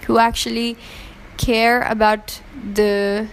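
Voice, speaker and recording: Opinionated woman from Pakistan, slightly high voice. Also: flat delivery, takes it slowly, a little hiss or hum, rough recording. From Pakistan, raised in Hong Kong. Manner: earnest, opinionated, many fillers and restarts. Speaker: interviewee. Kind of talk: casual interview answers.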